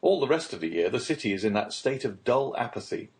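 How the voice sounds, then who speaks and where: A man reading literary narration as an audiobook narrator, in a formal and measured storytelling manner. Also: mid-range voice, very animated, darkish tone.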